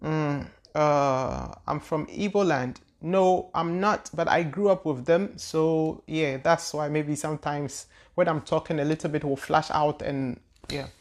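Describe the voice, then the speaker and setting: A woman's voice: deep voice, measured pace, few pauses, darkish tone. Woman talking in loose, searching speech. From Ghana. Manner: loose, searching delivery with frequent repeated words and hesitations. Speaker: spiritual teacher and channel host. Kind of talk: casual spoken talk answering viewer questions.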